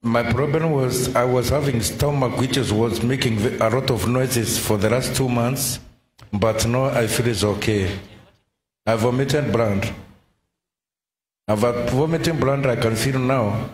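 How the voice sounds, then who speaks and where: Man, mid voice, steady plain testimony, echoey hall, faint crowd noise. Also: mid-range voice, measured pace. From Kenya. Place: large indoor church hall. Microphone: handheld mic held by an usher.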